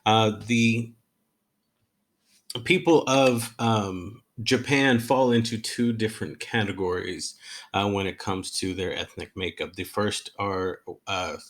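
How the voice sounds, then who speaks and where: Halting low-voiced male history lecturer. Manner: halting, many fillers and restarts. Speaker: history professor. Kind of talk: unscripted lecture. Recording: recorded lecture.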